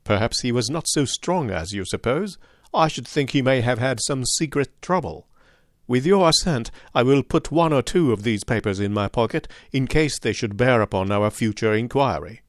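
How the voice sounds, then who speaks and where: Incisive detective read by a man, measured, precise, dryly ironic, mid-pitched, dry close sound, audiobook. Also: lively intonation, no echo, close to an everyday voice. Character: calm, incisive adult male detective.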